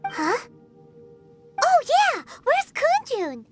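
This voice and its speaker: A tiny, naive fairy sidekick from a video game. Her squeaky, childlike voice is extremely high and bounces around with chatty perkiness. Loud music plays underneath. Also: wildly swooping pitch, extremely slow, exaggerated voice. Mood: perky, curious. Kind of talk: English video game voice acting.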